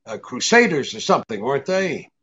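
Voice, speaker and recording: An actor playing a cynical, tough American private detective in a 1940s-style radio drama, with a sardonic, clipped manner. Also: male voice, mid-range voice, big pitch swings, fairly quick, pushed and bright, highs cut off. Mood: unimpressed. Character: cynical, wisecracking hard-boiled male private detective.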